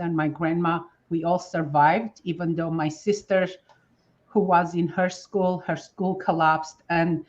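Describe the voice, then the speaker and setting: A woman's voice: fairly low pitch, highs cut off. A woman from Armenia in her fifties, a guest telling her story in earnest, broken-up sentences. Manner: earnest, grateful, speaks in fragments. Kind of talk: conversational storytelling.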